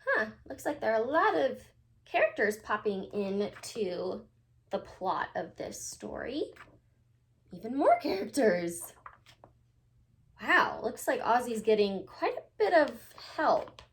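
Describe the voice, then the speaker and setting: Primary teacher, female, slightly high and very animated, emphatic storybook read-aloud delivery aimed straight at kids. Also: slow pace. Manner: expressive, emphatic, addressing children directly. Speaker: teacher reading aloud to children.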